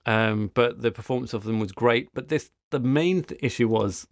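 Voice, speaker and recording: A man's voice: mid-range voice, normal speed, dry recording, studio quality. A man co-hosting a podcast, telling a story in casual, rambling chat with frequent restarts. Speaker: podcast co-host.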